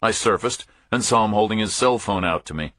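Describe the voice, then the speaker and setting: A man's voice: lowish voice, animated, fairly quick, a bit bright, no echo, studio quality. A man narrating a first-person thriller audiobook in a scripted storytelling style.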